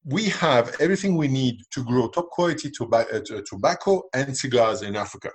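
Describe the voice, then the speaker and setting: A man's voice: medium pitch, lively intonation, fairly quick, slightly rough. A middle-aged man from Lebanon, a podcast guest explaining his views earnestly in conversational answers. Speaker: podcast guest, cigar entrepreneur.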